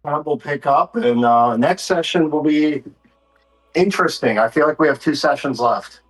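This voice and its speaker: A man's voice: on the high side, moderate pace. A man casually talking as game master of a tabletop horror role-playing game.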